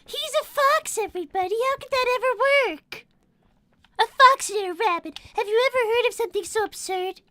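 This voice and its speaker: A prissy little rabbit girl, as a woman voices her with a sky-high, piping voice and stiff, proper diction full of old-fashioned exclamations. She sounds close and dry, with almost no room around her. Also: normal speed, sharp tone, no echo. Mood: prim. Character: prim, prissy young female rabbit girl. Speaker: character voice actor on a live stream. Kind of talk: improvised live character roleplay.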